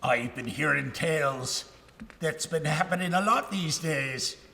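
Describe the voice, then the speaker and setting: A man's voice: a little high, measured pace, gravelly, pushed and bright, heavily acted. A man playing a blunt, wary father with a folksy, insinuating, theatrical way of talking in a live radio melodrama. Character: blunt, wary father sizing up his daughter's suitor. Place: live stage in a ballroom. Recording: reverberant live hall.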